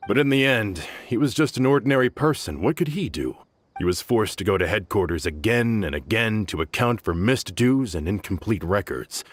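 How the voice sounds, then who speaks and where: A man playing a grim, vengeful desert outlaw in a video game, bitterly loyal and grave, with a husky voice. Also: sits low.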